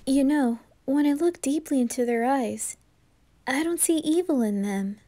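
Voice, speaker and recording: Kind young anime boy, female performer: high voice, slow soft delivery, reassuring and sincere. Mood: earnest. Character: gentle, kind-hearted, fearless young boy adventurer. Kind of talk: anime fan roleplay ASMR.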